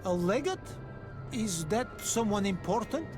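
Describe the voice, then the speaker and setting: A man's voice: high voice, expressive, music in the background. A man playing a troubled native islander merchant in a video game, speaking in an earnest, pleading and formal way. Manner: earnest, halting, foreigner unfamiliar with local ways. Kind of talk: video game dialogue acting.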